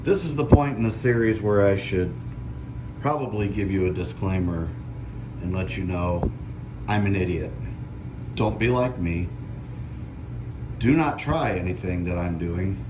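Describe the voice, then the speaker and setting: A man hosting a casual hands-on DIY vlog, thinking aloud in a halting, self-deprecating, crude way. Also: low voice. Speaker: host of a DIY truck modification vlog. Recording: clear background noise and ambience.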